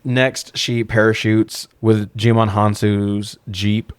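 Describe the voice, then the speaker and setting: Low-voiced, sardonic man recapping a film. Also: level tone, slow pace, clean tone, no echo. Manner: sardonic, profane. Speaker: podcast co-host. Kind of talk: casual film recap banter.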